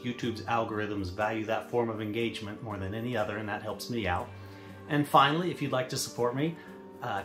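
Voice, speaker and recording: A man with a mid-pitched voice addresses listeners as the host of his channel. The room adds clear echo, and plucked guitar music plays behind him as he gives his outro.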